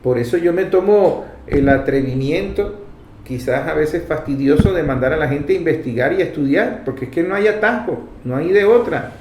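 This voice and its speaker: A man with a Venezuelan accent delivers political commentary in a fairly high voice. He is emphatic and didactic, driving points home by repetition. The recording is roomy and echoey, with faint noise behind him. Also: expressive, normal speed, a little mellow. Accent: Venezuelan Spanish. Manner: emphatic, didactic, repeats key phrases. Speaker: radio program host and commentator.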